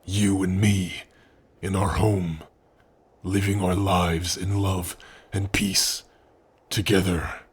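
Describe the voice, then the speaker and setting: A man voicing a big, gentle orc who is a devoted lover, speaking tenderly and earnestly in an intimate ASMR romance roleplay, with a husky voice. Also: deep voice, little pitch movement, exaggerated voice. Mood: loving, earnest. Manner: tender, earnest, slow and breathy with sighs.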